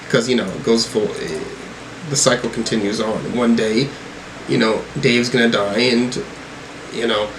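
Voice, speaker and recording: A man hosts a casual music reaction, thinking aloud as he goes. His voice sits at a middling pitch, and some noise runs behind it. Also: normal speed. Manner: musing, thinking aloud while reading out lyrics. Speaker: host of music reaction videos.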